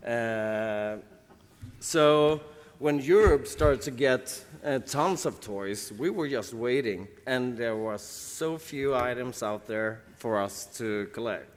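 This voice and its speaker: A man from Sweden with a Swedish accent, talking casually on a convention panel, halting and restarting as he searches for words. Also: medium pitch. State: jet-lagged. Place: large convention hall. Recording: reverberant hall.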